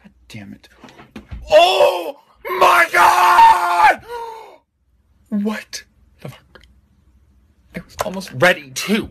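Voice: very high-pitched, very animated, very slow, vocal fry, long pauses, fairly bright tone, shouting, a little hiss or hum. A person doing a makeup tutorial to camera, breaking into exasperated swearing.

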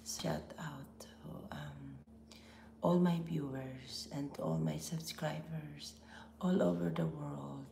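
A middle-aged woman with a Filipino accent, hoarse from laryngitis, hosting a casual live stream from home with slow, half-whispered greetings. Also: sits low, lively intonation, dark tone, reverberant, a little hiss or hum. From Philippines. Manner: breathy, half-whispered, slow with frequent pauses and throat clearing. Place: small home office room indoors.

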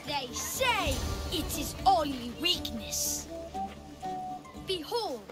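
A woman's voice: high-pitched, big pitch swings, drawn-out words, heavily acted. A hustling boy tour guide. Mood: salesy. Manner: salesy, confident patter. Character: fast-talking young boy huckster tour guide. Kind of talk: video game voice acting. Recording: game music underneath.

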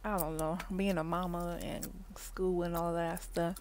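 A woman, chatting casually in a parked car for an ASMR video, often dropping to soft whispers, recorded on a wired earbud mic. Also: fairly low pitch, level tone, measured pace. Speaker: ASMR vlogger. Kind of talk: ASMR gum-chewing car chat. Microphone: wired earbud mic held near mouth. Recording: faint background noise, mouth clicks.

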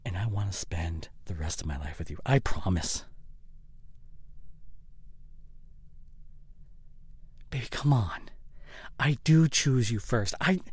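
A man acts out a guilt-ridden boyfriend in an intimate audio drama. His voice is husky and breathy, slipping toward a whisper, and he stammers as he earnestly pleads. It is recorded very close and dry. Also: mid-range voice, darkish tone, no echo. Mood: pleading, upset. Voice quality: breathy, often near a whisper. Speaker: audio roleplay voice actor. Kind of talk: intimate boyfriend audio roleplay, acted monologue. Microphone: close studio condenser microphone.

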